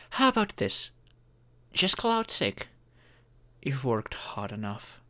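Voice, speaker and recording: A performer voicing a caring, earnest young romantic partner in an intimate ASMR roleplay, with deliberate pauses. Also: medium pitch, a bit bright. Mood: warm, encouraging.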